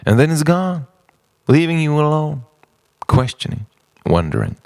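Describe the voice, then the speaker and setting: A man delivers a dramatic spoken word piece in slow, weighted phrases. His voice is gravelly with fry and moves across a huge range between hushed and forceful delivery. The sound is dry and close. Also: a little high, very animated, no echo. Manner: dramatic, swinging between hushed whispers and shouted outbursts; short, deliberate phrases with heavy pauses. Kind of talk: theatrical spoken word poetry monologue.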